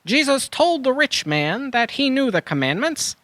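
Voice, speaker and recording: A performer playing an eager animal adventurer in a children's audio drama, earnest and expressive. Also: sits high, normal speed, no room sound.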